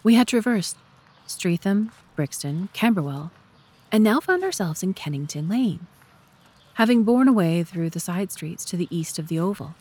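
A woman's voice: on the low side, very animated, normal speed, mellow, breathy edge. A woman narrating a scripted audiobook story.

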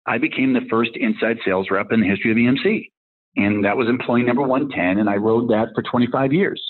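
Fast-talking man with a Massachusetts accent. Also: mid-range voice, slight background noise. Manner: rambling, acts out dialogue in anecdotes. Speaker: tech executive guest. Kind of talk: casual career storytelling. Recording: phone-like narrow line.

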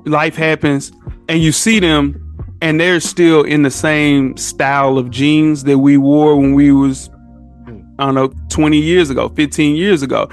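A man's voice: fairly high pitch, fairly even intonation, measured pace, soft background music. A man in his thirties with a Southern US accent, chatting casually and telling stories in an emphatic, slangy way. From southern United States. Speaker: podcast guest. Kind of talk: casual chat.

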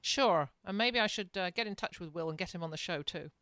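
English woman, smooth dry voice. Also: lowish voice, fairly quick, fairly bright tone, no room sound. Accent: English, softened by years in Canada. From England. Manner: chatty, laughs easily, hesitant with fillers. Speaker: podcast co-host. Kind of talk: casual chat.

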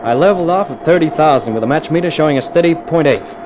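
A man's voice: fairly high pitch, expressive, fast, noisy background, close to an everyday voice. A man playing a cool, wry jet test pilot who narrates his own adventure in clipped, dramatic 1950s radio thriller style. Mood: tense, wry narration. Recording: old radio drama with music underneath.

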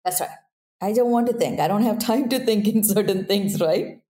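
A woman's voice: mid-range voice, normal speed, warm tone. A woman speaking English with an accent, a guest in a casual interview who keeps checking in with "right?". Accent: non-native English accent. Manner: earnest, repeats "right?" to check in.

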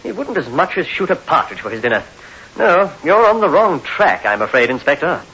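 An actor playing a polite, talkative, genteel gentleman in an old radio drama, earnest and easily shocked. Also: fairly high pitch, big pitch swings, a little fast, over loud music. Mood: earnest, defensive. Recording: hissy, muffled 1960s radio recording.

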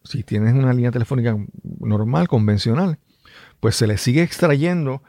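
A man's voice: mid-range voice, normal speed, warm tone, dry recording, studio quality. A man with a Puerto Rican accent hosting a conversational interview, working his way wordily toward his questions. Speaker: interviewer, host.

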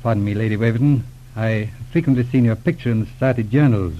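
Male detective for vintage radio serial actor: quick, clipped delivery, serious and courteous, dull old recording. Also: fairly low pitch, a little hiss or hum, natural voice. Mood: polite, guarded. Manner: clipped, businesslike, formal. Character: grave, courteous male private detective, former police inspector. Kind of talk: 1940s radio acting.

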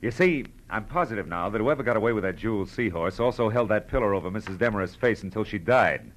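An actor with an American accent playing a shrewd, clipped, wry private detective in 1940s radio style. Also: male voice, lowish voice, expressive, quick pace, slight background noise, lightly acted. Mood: accusing. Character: hard-boiled male private detective, brisk, shrewd and sure. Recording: old radio drama recording, music behind.

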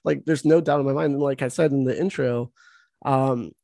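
A man's voice: medium pitch, steady tone, moderate pace, vocal fry, warm tone, no room sound, clean studio sound. A man hosting an interview, gushing about a film in rambling, casual speech full of "like". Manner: gushing, rambling, heavy use of "like". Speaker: interview host.

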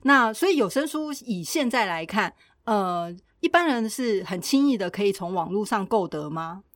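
A woman's voice: mid-range voice, expressive, normal speed, no room sound, clean studio sound. A woman with a Taiwanese Mandarin accent co-hosting a casual podcast in a conversational, inquisitive way. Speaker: podcast co-host.

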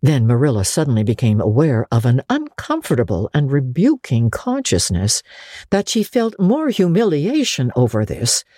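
Low, husky, expressive woman storyteller. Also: no echo, studio quality. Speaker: audiobook narrator. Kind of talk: dramatic scripted narration of classic literature.

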